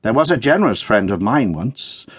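A man's voice: fairly low pitch, very animated, normal speed, breathy edge, no room sound, clean studio sound. A man voicing an earnest, loyal young sailor in a storybook, speaking with a pleading sincerity. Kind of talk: dramatic storybook character reading.

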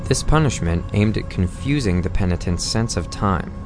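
A man reading scripted documentary narration in a measured, formal storytelling manner. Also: sits low, expressive. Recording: music behind the voice. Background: loud music.

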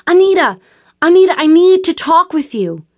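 A woman reading an audiobook gives voice to a frantic, fearful young woman, pitching her speech up and pleading urgently. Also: moderate pace, close to an everyday voice.

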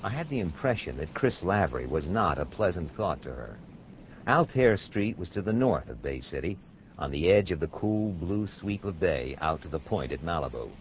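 A hard-boiled American private detective with a deep, low voice. He is laconic, dry and probing, a radio drama gumshoe on a slightly dull recording. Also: big pitch swings, moderate pace, a little hiss or hum. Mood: wry narration. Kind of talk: radio drama dialogue and first-person noir narration.

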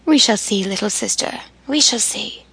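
A woman voicing Amelia, a gentle, excitable older sister, in a bright, lively, higher voice while reading a story. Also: normal speed, breathy edge, no echo, heavily acted.

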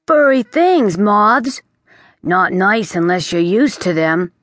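A wisecracking male bat from a storybook, talking in a brisk, slangy patter, as a woman narrator puts him on. His voice sits well above her own and comes out loud and lively. The recording is dry and close. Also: medium pitch, no echo, voice pushed up. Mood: teasing.

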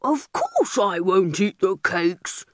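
A woman voicing a massive, greedy bully of a bear in a storybook, gruff and domineering. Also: mid-range voice, wildly swooping pitch, slow, a bit bright, dry recording, clean studio sound, exaggerated voice. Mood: falsely innocent.

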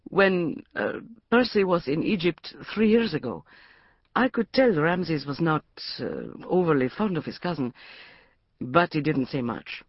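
Lady doing a soft polite young guy, kinda hesitant, dry sound. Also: low voice, expressive, unhurried, no room sound, highs cut off, exaggerated voice.

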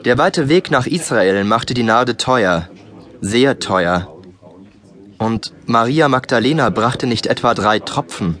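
A man's voice: mid-range voice, expressive, slow pace, a bit bright. A man playing a disciple who narrates his memories reflectively, in scripted children's audiobook style. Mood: reflective, explaining. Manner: reflective, storytelling. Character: male disciple recounting memories as storyteller.

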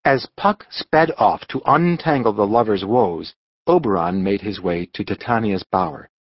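Male storybook narrator: dramatic, expressive, slightly husky, highly varied intonation. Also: moderate pace, no room sound, muffled recording. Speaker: audiobook narrator.

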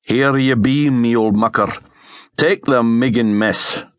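A man's voice: medium pitch, slow, no echo, close to an everyday voice. A man voicing a grumbling Scottish soldier in an audiobook story, exclaiming colourfully with a Scottish accent. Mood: teasing. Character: gruff Scottish sergeant, loyal, grumbling, aghast at danger.

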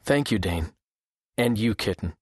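Husky, kindly mage. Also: male voice, fairly low pitch, animated, measured pace, no echo, clean studio sound. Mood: gentle assurance. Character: kindly, reassuring man mage. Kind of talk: full-cast audiobook character acting.

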